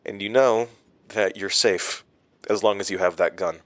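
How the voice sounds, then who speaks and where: An actor playing a haunted, defiant hero in an audio drama, speaking in a halting, strained way. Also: male voice, lowish voice, dry recording, lightly acted. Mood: grim resolve. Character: haunted, defiant male hero facing his enemy.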